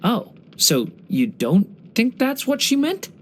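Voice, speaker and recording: Game role: young dragon boy in human form. Earnest, polite, gentle storyteller, animated intonation. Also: very high-pitched, takes it slowly, husky, exaggerated voice. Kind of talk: English video game character voice lines.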